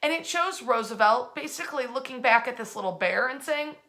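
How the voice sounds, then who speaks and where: Bright, higher-pitched woman telling history stories. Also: fairly quick. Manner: animated, chatty, colloquial. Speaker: host of a nightly history storytime. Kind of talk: informal storytelling to an online audience. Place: in bed at home.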